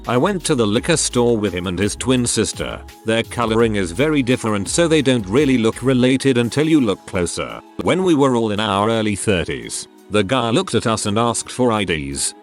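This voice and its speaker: Low-voiced man narrating with lively delivery. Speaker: narrator reading online posts. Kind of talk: scripted narration.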